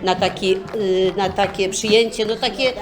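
A woman's voice: medium pitch, fairly even intonation, normal speed, crowd noise. An older woman, recalling the past casually and searching for her words. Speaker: senior sharing memories. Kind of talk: casual spoken reminiscence. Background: music.

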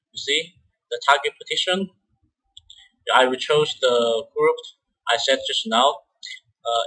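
A man who is a non-native English speaker presents a step-by-step software tutorial in a halting way, often repeating words. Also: medium pitch, poor audio. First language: not English.

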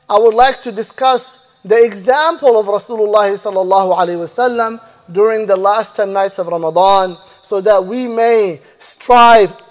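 A man in his thirties preaches a Friday sermon from the mosque pulpit with a high, clear voice. He speaks into a stand microphone in a measured preaching cadence. The long reverb of the prayer hall trails each phrase. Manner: measured preaching, recites Arabic verses rapidly then translates slowly. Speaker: imam. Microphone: stand mic and lapel mic.

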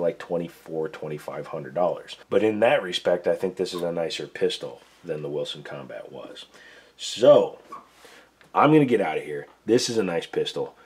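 A man's voice: deep voice, a little mellow, husky. A man who is losing his voice gives a casual, plain-spoken, step-by-step demonstration at his workbench. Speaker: gun reviewer, show host. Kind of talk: casual tabletop demo. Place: indoor workbench.

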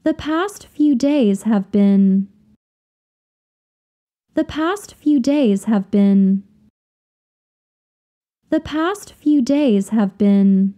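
A woman with a fairly high, mellow voice reads practice sentences at an unhurried pace. Her pitch rises and falls in a lively, animated way. She enunciates carefully, like a teacher setting up drills. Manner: careful, deliberate, each phrase repeated for learners. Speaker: language teacher reading example sentences. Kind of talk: slow, clear drill reading of example phrases.

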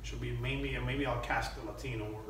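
A man's voice: mid-range voice, steady tone, fast, a bit bright. Middle-aged Miami man in a very echoey room. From Miami, United States. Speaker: actor, interview guest. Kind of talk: conversational interview answers. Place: small indoor room. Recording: echoey room, distant mic, faint noise.

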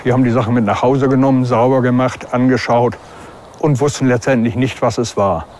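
A male hobby detectorist tells his account casually in an interview. He has a medium-pitched voice with slight ambient noise around it. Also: fairly even intonation, brisk, darkish tone. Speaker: amateur metal detectorist being interviewed.

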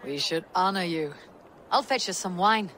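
An actress playing a stern, determined young Viking warrior woman in a video game, with a husky voice. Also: on the low side, normal speed, fairly bright tone, slight background noise, close to an everyday voice. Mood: composed. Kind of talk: video game dialogue acting.

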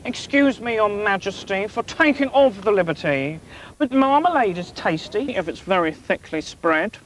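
A woman's voice: medium pitch, expressive, normal speed, slight background noise, lightly acted, poor audio. A woman voicing a shy, polite dairymaid in a children's story, speaking deferentially. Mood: bashful. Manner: bashful, deferential. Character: timid, polite young dairymaid.

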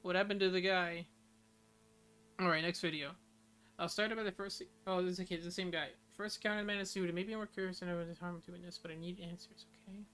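A woman's voice: fairly low pitch, fairly even intonation, fast talker, a bit bright, low-quality recording. A young woman streamer giving casual reaction commentary and reading captions aloud.

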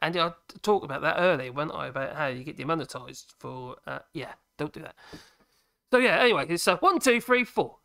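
A man, chatting casually on a live stream from his workshop, a bit husky today and prone to rambling and correcting himself. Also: lively intonation, fairly quick, bright, forceful tone. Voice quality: husky at the moment, fairly high voice. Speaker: woodworking channel host. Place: cluttered home workshop, indoors. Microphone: cheap USB desk microphone. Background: air compressor running at times.

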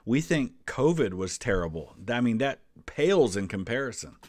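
A man's voice: mid-range voice, sing-song, normal speed, a little mellow, dry recording. An American man in his forties giving casual, conversational commentary and explaining history as he goes. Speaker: history vlogger, host.

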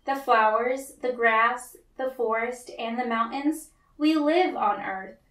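A woman voicing a warm, reassuring, encouraging mother in a children's storybook. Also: fairly high pitch, slow. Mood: explaining. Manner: reassuring, emphatic. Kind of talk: children's storybook read-aloud. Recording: roomy, echoey, distant mic.

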